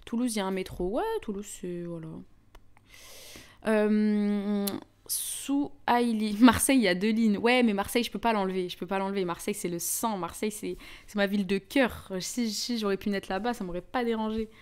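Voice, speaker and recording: A young woman with a Parisian French accent hosts a casual live chat. She talks in an informal, slangy, chatty way at a middling pitch, addressing her viewers directly. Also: moderate pace, darkish tone, clean tone. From France (Paris). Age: young adult. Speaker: livestream host.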